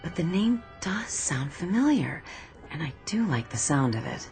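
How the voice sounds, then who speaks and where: An actress playing a gentle, playful, dreamy woman passenger in a radio drama. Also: low-pitched, big pitch swings, normal speed, faint music behind. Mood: dreamy, musing.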